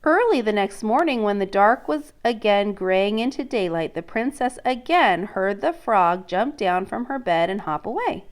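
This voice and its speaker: A woman teacher reading a children's story aloud in an expressive, deliberate way, pausing to prompt and question young listeners. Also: mid-range voice.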